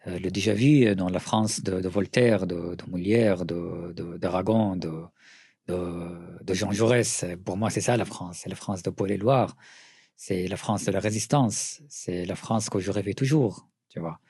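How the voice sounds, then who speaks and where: A middle-aged man from Syria with a Syrian accent, answering interview questions earnestly, often pausing and restarting. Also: sits low, a little mellow, breathy edge, no room sound, clean studio sound. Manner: earnest, with frequent hesitations and restarts. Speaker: writer and journalist, interview guest.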